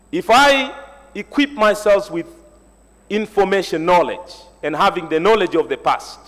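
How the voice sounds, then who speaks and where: Man speaking in an echoey hall. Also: fairly high pitch. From Tanzania. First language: Swahili. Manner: motivational, reflective, personal storytelling. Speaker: panel speaker. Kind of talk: earnest public speech. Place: large university hall.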